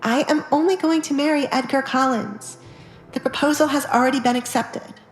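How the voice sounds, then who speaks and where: A woman's voice: high voice, moderate pace, roomy sound, faint music behind, pitched above the performer's own voice, lightly acted, low-quality recording. A woman voicing a defiant, determined young noblewoman, guarded and stubborn, in dramatized audiobook dialogue. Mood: defiant, firm.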